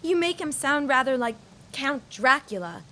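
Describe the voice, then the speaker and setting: A woman's voice: high voice, lively intonation, moderate pace, sharp tone. An actress playing a proud, wounded young society woman in a period audio drama, speaking in a formal, theatrical, haughty way. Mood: haughty, sarcastic.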